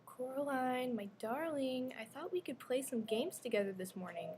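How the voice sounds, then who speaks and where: Sinister mother figure acted by a woman: sweetly possessive, archly formal, slightly high voice, staged reading with faint noise. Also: expressive, normal speed, darkish tone. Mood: sweetly coaxing. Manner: sugary, archly formal scolding. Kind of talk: readers theater performance. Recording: faint background noise, live ambience.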